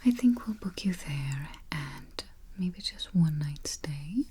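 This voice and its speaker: Low, slow whispering woman. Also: animated, slight background noise. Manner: soft whisper with murmured mm-hmms. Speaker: ASMR artist playing a spooky travel agent. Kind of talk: whispered ASMR roleplay. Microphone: close sensitive mic. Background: keyboard typing and finger taps.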